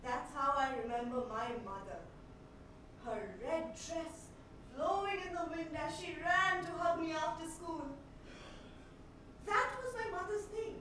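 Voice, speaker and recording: A woman performing a theatrical monologue as a haunted, unhinged woman, speaking deliberately with dramatic pauses, in a theatre. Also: very high-pitched, lively intonation, pushed and bright, exaggerated voice. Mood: wistful. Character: haunted, unhinged woman recalling her mother's death. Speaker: solo stage actor. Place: indoor theatre stage. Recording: echoey theatre stage, distant mic, noisy.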